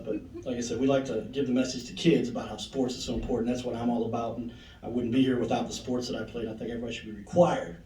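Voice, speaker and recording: A man's voice: mid-range voice, darkish tone, slight background noise. A man hosting an induction ceremony, rambling and emotional, with laughing asides. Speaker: master of ceremonies. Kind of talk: speaking at a banquet podium. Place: large echoey banquet hall. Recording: roomy hall, voice from far off.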